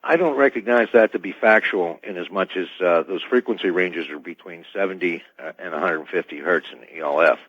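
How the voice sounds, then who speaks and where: Very deep-voiced man, dull radio sound. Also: brisk, few pauses, fairly bright tone, slightly rough, no echo. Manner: cautious, formal, hedging wording. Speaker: radio interview guest. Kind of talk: spoken technical explanation in an interview. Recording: dull band-limited radio call audio.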